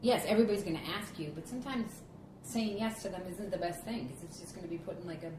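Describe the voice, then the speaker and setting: A woman with a Boston accent hosting a podcast interview in casual chat, laughing often and talking in quick run-on bursts. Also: on the low side, echoey room, background noise. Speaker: podcast host.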